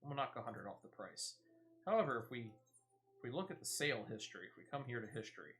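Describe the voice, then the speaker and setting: Male gaming streamer, bright forward tone, animated pitch, chatty and playful, talks through each step as he plays. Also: brisk, faint music behind. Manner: chatty, thinks aloud. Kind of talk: casual gameplay commentary.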